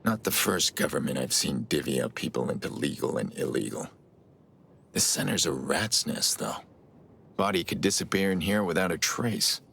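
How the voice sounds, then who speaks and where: An actor playing a grim, stoic middle-aged vigilante hacker in a video game, terse and brooding, with a low gravelly rasp. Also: male voice, expressive, moderate pace, a bit bright, a little hiss or hum, heavily acted. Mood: guarded, grim.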